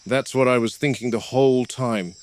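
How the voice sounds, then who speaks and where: A man playing a weathered game warden who speaks tersely, quiet and subdued when upset. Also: medium pitch, normal speed, close to an everyday voice. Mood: calm. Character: weathered male park game warden, grim, practical. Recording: noisy, with crickets and insects behind.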